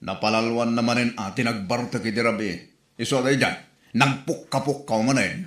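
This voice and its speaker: A man acts as a grumbling Ilocano husband in a comedy audio drama. His mid-pitched voice sits in a roomy echo. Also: normal speed, fairly bright tone, natural voice. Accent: Filipino, Ilocano.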